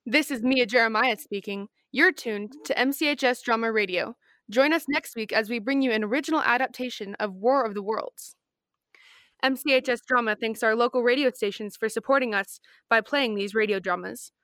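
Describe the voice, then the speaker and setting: A woman's voice: fairly high pitch, normal speed, a bit bright, clean tone, no echo, studio quality. A young woman reading scripted announcements as a radio drama announcer. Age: young adult.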